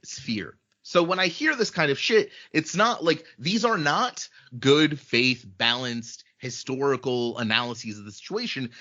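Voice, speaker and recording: Blunt heated man, bright lo-fi sound. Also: medium pitch. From Ukraine. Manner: blunt and heated, frequent swearing and filler words. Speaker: podcast guest, journalist. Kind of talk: casual podcast conversation.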